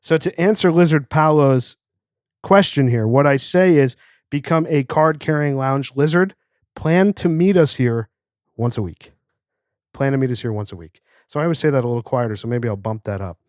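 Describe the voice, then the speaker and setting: A man's voice: medium pitch, moderate pace, darkish tone, no room sound, studio quality. A man hosting a casual podcast, reading listener letters aloud in a joking way. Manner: reads letters aloud, jokey. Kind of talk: casual chat. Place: cigar lounge.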